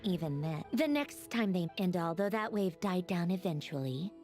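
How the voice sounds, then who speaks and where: A woman's voice: on the low side, lively intonation, normal speed, darkish tone, soft background music, lightly acted. An actress playing a haughty, witty woman inventor in a video game, guarded yet wistful, speaking in a wry, articulate way. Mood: reflective, somber. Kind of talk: scripted video game story dialogue.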